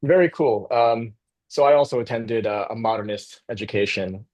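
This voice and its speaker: A man's voice: fairly low pitch, moderate pace, a little mellow. Man presenting on a video call. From United States. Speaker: architect and guest presenter.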